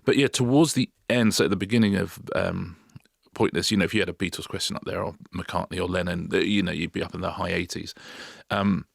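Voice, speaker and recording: Man podcast co-host, very deep voice, gravelly, wry dry asides, stammering. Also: fairly even intonation, brisk, hardly any pauses, no room sound. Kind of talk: casual chat.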